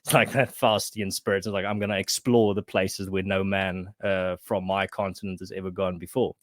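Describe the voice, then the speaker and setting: A man's voice: low-pitched, little pitch movement, normal speed, dry recording, clean studio sound. A man from South Africa whose first language is Afrikaans, talking as a podcast guest and writer in a thoughtful way with many restarts. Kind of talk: casual conversation.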